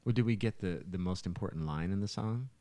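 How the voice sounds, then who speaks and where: A man's voice: deep voice, brisk, dark tone, no room sound. A man chatting casually and telling stories as a musician and songwriter on a podcast, speaking into a close microphone. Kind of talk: casual storytelling chat. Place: living room.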